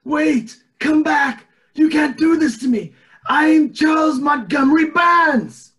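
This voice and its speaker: A man's voice: very high-pitched, takes it slowly, fairly bright tone, heavily acted. A man on a video call playing a scheming, pompous old tycoon in an amateur cartoon table read, with theatrical delivery. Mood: frantic, outraged. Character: scheming old male tycoon running for governor.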